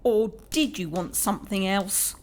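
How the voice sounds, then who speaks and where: A woman playing a gruff, sharp-tongued housekeeper in an audio drama, blunt and snappish. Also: mid-range voice, measured pace, lightly acted. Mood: grumpy, scornful.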